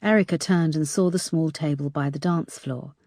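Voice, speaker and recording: A woman reading a thriller audiobook in an even, measured way. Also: deep voice, dry recording, studio quality. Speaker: audiobook narrator. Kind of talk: scripted narration.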